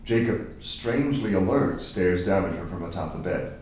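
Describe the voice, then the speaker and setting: A man's voice: low voice, animated, moderate pace, darkish tone. A man reading screenplay stage directions as scripted narration at a table read. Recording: very echoey room, distant mic, faint noise.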